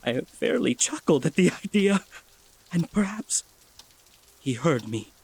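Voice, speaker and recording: A mad, nervous murderer narrates a gothic horror audio drama in a slightly high male voice. The pace is very slow and halting. The delivery is intense and theatrical, determined to prove his sanity. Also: big pitch swings, vocal fry, a little mellow, a little hiss or hum, full character voice. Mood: sly, triumphant.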